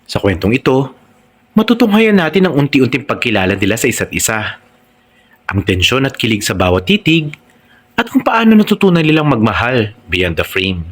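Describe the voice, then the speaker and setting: Filipino man narrating with lively pitch. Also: moderate pace. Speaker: narrator and channel host. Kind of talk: scripted romantic story narration.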